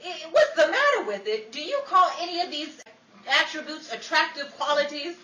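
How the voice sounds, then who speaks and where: Woman acting a bright, sardonic starlet, echoey radio. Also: high-pitched, lively intonation, moderate pace. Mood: exasperated. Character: sardonic, exasperated Hollywood starlet, young woman. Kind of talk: 1940s old-time radio comedy acting. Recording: echoey, distant mic with faint crowd and noise.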